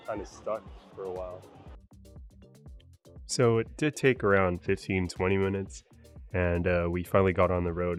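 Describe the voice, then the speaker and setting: A man talking casually as a travel vlogger, relaxed and chatty with frequent fillers. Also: deep voice. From United States. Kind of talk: casual travel vlog narration.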